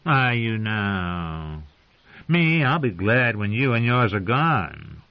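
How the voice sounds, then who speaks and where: A man voicing a rough, menacing male wildling with coarse, rustic speech. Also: fairly low pitch, very animated, slow pace, pitched above the performer's own voice, exaggerated voice. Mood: surly. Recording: muffled lo-fi recording.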